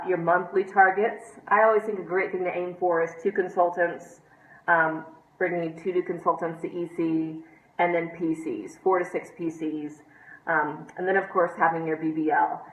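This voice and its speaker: Woman with a stuffed-up nose, lowish voice, kinda echoey room and dull lo-fi sound, chatty pep talk full of ums. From United States. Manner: chatty, many ums, encouraging. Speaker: team trainer. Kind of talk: informal training talk to camera.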